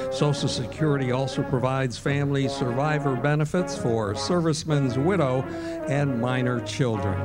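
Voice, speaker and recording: A man announcing in 1940s radio style, reading scripted ad copy like an emphatic salesman. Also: medium pitch. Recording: live stage show, loud music behind. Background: loud music.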